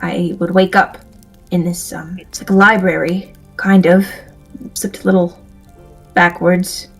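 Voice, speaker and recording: A woman's voice: lowish voice, level tone, husky. Woman playing a stammering, timid male adventurer. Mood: uneasy, confiding. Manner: halting, stammering. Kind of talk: improvised tabletop audio drama roleplay. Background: music.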